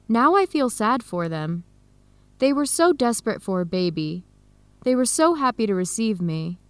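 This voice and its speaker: A woman's voice: a little high, sing-song, normal speed, clear, resonant tone, dry recording. A woman acting an earnest young adoptee in a radio drama, speaking openly with an American accent. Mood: reflective.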